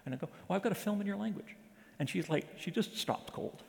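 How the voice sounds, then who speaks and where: A man's voice: high-pitched, expressive, fast talker, gravelly. A man giving an informal, conversational talk to a church congregation, telling stories with many fillers and restarts. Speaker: missions speaker at a church presentation. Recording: reverberant hall.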